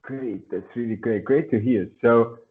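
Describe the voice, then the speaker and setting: A man's voice: medium pitch, measured pace. A man teaching a one-on-one language lesson over a video call, encouraging and often repeating his words. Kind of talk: online lesson conversation. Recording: tinny phone-like video call audio.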